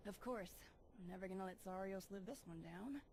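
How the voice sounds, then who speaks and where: A young woman playing a confident, spirited Jedi in a video game, with an assured, brisk delivery. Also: mid-range voice, darkish tone, slight background noise, close to an everyday voice. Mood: upbeat, warm. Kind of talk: video game cutscene acting.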